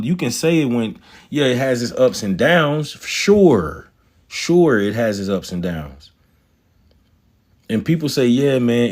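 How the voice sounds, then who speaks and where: Swaggering low-voiced man talking stocks. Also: darkish tone. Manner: swaggering, profane, slangy, talks to chat. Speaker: stock market livestreamer. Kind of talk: casual livestream chat about stocks.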